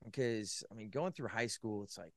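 A man's voice: lowish voice, normal speed, dry recording, clean studio sound. A man from California, a podcast guest, telling his faith story in a casual, rambling way with many "likes" and restarts. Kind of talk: casual personal testimony.

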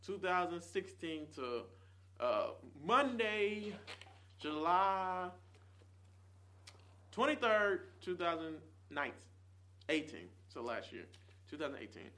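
Bright-voiced earnest young man vlogging. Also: sits high, very animated, slow, pauses often. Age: 20s. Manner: rambling, earnest, swings between loud bursts and quiet trailing. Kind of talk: casual chat to camera.

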